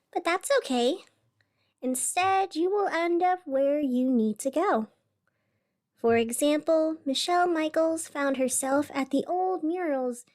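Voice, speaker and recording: A woman playing a gentle, musing radio host in a scripted fiction podcast, speaking in a soft, reflective, conversational way. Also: high-pitched. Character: gentle, musing young female radio host of a strange city.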